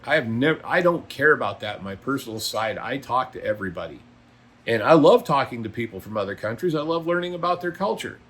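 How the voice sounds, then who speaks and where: A man's voice: mid-range voice, fairly bright tone, slightly rough. A middle-aged or older man, a trucker talking casually to viewers in a blunt, folksy, opinionated and emphatic way. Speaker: trucker and video blogger. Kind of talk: casual vlog monologue.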